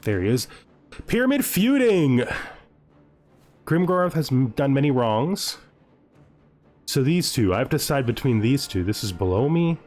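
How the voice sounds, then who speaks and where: A man's voice: mid-range voice, very animated, moderate pace, long pauses, darkish tone, soft background music. A man hosting a gaming video, thinking aloud in casual commentary and playfully gloating with in-character asides.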